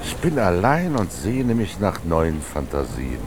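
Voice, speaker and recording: A man's voice: low-pitched, huge pitch swings, a little slow, background noise, heavily acted. An actor playing a lonely, reflective man narrating his thoughts in a radio drama, with a dry literary delivery. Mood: pensive. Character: lonely young scribe, musing narrator wandering through rainy Munich. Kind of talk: introspective radio drama monologue.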